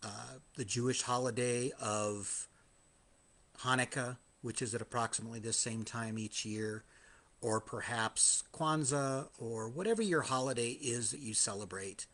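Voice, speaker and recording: Slightly hoarse man hosting a podcast. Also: mid-range voice, a little slow, a bit bright. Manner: chatty and self-deprecating with frequent restarts. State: slightly hoarse after choir singing. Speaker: podcast host. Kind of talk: live-streamed casual monologue.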